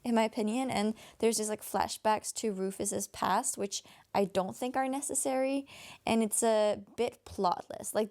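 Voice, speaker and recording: A tired woman with a raspy voice, a Danish native speaker from Denmark, chatting through a casual book review with lots of fillers and restarts. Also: mid-range voice, darkish tone. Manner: rambling, many fillers and restarts. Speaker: book vlogger.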